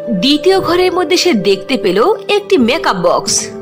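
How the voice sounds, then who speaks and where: A woman's voice: high voice, sing-song, moderate pace. A woman narrating a scripted children's fairy tale as storyteller. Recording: clear music under the voice.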